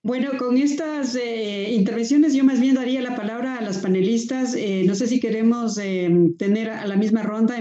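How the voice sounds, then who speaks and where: Mellow woman moderating in an echoey room. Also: mid-range voice. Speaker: webinar moderator. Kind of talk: moderating a panel. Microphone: video call. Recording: echoey room, dull lo-fi call audio.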